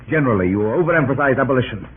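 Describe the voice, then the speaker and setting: An actor playing a proud, defensive, ambitious government minister with a formal, clipped, indignant manner in a 1930s radio drama. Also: male voice, mid-range voice, lively intonation, rapid-fire, slightly rough. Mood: stern insistence. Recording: phone-like, faintly noisy 1930s radio recording.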